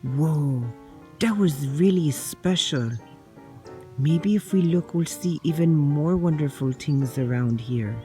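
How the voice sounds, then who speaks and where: A woman voicing a gentle wonder-struck unicorn. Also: low-pitched, soft background music. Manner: slow, soft-spoken, marveling. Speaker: nature-walk guide. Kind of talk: playful toy narration for children. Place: outdoors by a pond.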